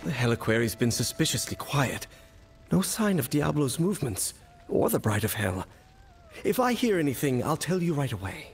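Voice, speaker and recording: A supportive, watchful male ally in a fantasy game. He is earnest and plain-spoken, with a very mobile pitch. Faint music plays behind him. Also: big pitch swings, moderate pace. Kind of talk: dramatic video game dialogue.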